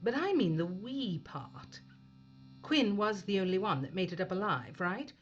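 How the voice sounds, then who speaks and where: Spaceship captain played by a woman: stern, probing, dryly sarcastic, expressive pitch, science fiction audio drama, lo-fi sound. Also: unhurried, slight background noise, lightly acted. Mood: suspicious. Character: hard-nosed, suspicious female spaceship captain interrogating a crewman.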